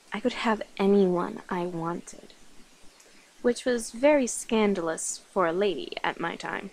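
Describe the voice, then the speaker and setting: A woman playing a coy, centuries-old vampire confiding in a human lover in an ASMR roleplay, speaking in short phrases with pauses. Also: mid-range voice, a little mellow, lightly acted, rough recording. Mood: coy, nostalgic. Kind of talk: ASMR roleplay, addressed to the listener.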